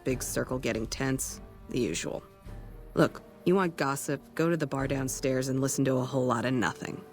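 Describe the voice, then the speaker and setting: An actor playing a weary, blunt ranger sniper in a video game, with dry, sardonic delivery. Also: male voice, mid-range voice, fairly even intonation, normal speed, soft background music, natural voice. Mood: jaded, sardonic. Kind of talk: video game dialogue acting.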